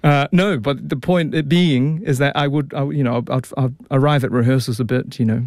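A man's voice: mid-range voice, normal speed, darkish tone, dry recording, studio quality. A young man with a New Zealand accent, an opera tenor chatting as a radio guest, with lots of restarts and fillers. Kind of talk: relaxed radio interview.

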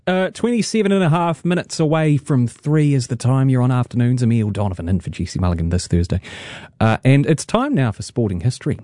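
A New Zealand man in his thirties hosts afternoon radio, chatty and wry, his questions wandering as he goes. His voice is mellow and relaxed and sounds dry and close. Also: medium pitch, brisk, no echo. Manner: conversational, wry, rambling questions. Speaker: radio host. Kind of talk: radio interview.

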